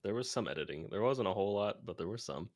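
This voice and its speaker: A man's voice: fairly low pitch, animated, fast talker, dry recording, muffled recording. A man chatting casually and joking around as a guest on a livestream. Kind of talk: casual banter.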